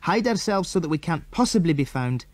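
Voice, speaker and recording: A man's voice: fairly high pitch, animated, fairly quick, a little mellow, dry recording, pitched above the performer's own voice. A British man voicing a resolute, protective boy leader in an adventure storybook. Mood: grave, determined.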